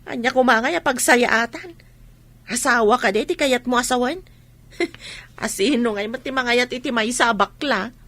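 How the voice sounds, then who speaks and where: Nosy village gossip, Ilocano accent, bright forceful voice, close dry sound, teasing, radio play. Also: female voice, fairly high pitch, normal speed, no echo. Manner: teasing, probing questions. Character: nosy gossiping village woman needling an older man. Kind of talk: Filipino radio drama acting.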